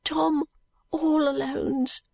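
A woman voicing a sick, frightened poor London boy in an audiobook, with a hoarse, muttering, slow drawl. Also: high-pitched, level tone, a little mellow, no echo, voice pushed up, full character voice. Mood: dazed, weary. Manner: slow, drawling uneducated dialect. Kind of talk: audiobook character voice.

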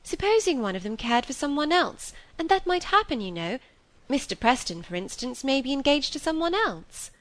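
A woman's voice: high voice, very animated, fairly quick, pitched above the performer's own voice. A woman voicing an earnest, impulsive young woman in a storybook, speaking in a pleading way. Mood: vexed, pleading.

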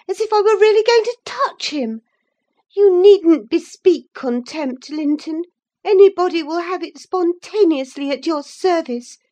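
A woman voicing a proud, quick-tempered young gentlewoman who scolds sharply. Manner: indignant, scolding, high-pitched.

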